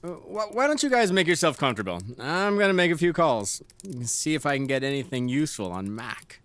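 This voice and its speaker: A man's voice: on the high side, sing-song, fairly quick, fairly bright tone, clear, resonant tone, dry recording. A man playing a wisecracking, easygoing city gang member who teases old friends in a casual audio drama. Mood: matter-of-fact. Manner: teasing, casual banter.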